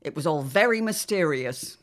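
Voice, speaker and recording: A woman's voice: deep voice, expressive, moderate pace. An actress playing an arch, theatrical middle-aged mother with grand, witchy airs in a period audio drama. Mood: knowing, arch.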